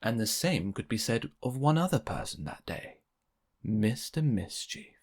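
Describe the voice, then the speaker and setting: A man reads a children's story aloud in a mid-pitched voice. His narration is expressive and very animated, with pitch that moves freely. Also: a little slow. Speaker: storybook reader.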